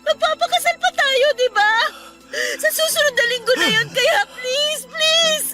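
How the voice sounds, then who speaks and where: An actress with a Filipino accent playing a devoted, emotional bride-to-be in a radio drama. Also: female voice, very high-pitched, a little slow, pushed and bright, soft background music, heavily acted. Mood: tearful, desperate pleading. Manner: tender, heartfelt, emotional. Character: devoted bride-to-be and mother, tender, pleading.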